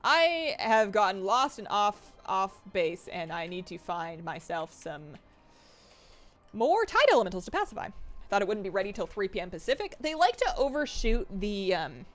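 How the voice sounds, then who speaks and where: A young woman streaming a game live, chatting excitedly and reading her chat aloud. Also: medium pitch, moderate pace.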